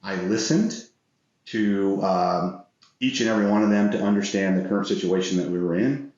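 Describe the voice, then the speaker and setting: A middle-aged American man answering interview questions as a guest, earnest and measured in the way he talks. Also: sits low, darkish tone. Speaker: interview guest, business executive. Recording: very echoey room, slightly dull sound.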